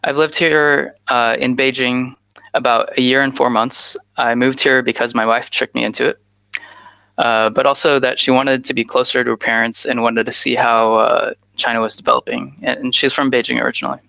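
Gravelly, bright-voiced man on a headset. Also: medium pitch, level tone, moderate pace, no echo. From United States. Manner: frequent "uh" fillers, earnest and informal. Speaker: guest speaker. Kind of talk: presentation over a video call.

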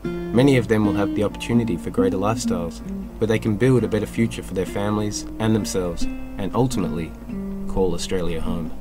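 Guy narrating a documentary, lowish voice, measured and formal, loud guitar behind.

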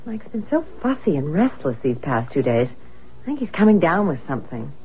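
A woman's voice: sits low, very animated, fairly quick. An actress playing a fretful, affectionate young wife and mother in a radio drama, with an anxious, questioning manner. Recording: dull lo-fi radio broadcast, faint noise.

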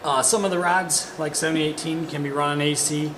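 A man's voice: on the high side, steady tone, brisk. A middle-aged man giving a casual, plain-spoken, hands-on product demonstration. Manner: informal, plain-spoken, practical. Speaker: product reviewer, welding demonstrator. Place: indoor workshop demo area with hanging curtains. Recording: echoey room, loud constant fan hum. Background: machine fan and ventilation noise.

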